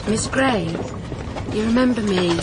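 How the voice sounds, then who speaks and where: A woman's voice: mid-range voice, a little slow, noisy background, natural voice. An actress playing a humble, ailing poor village woman with a deferential, homely manner in a period audio drama. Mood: friendly, deferential.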